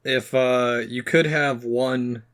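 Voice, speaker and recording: A man's voice: mid-range voice, fairly even intonation, takes it slowly, fairly bright tone. Guy cohosting a podcast, casual chat, kinda hesitant and agreeable. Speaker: podcast co-host. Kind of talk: casual chat about games and movies.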